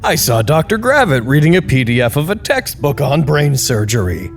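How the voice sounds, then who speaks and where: An actor playing a wry, deadpan announcer reading chatty status reports in a scripted audio drama broadcast. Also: male voice, on the high side, normal speed, gravelly. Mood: wry, dry. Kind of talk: scripted in-character radio broadcast. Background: music.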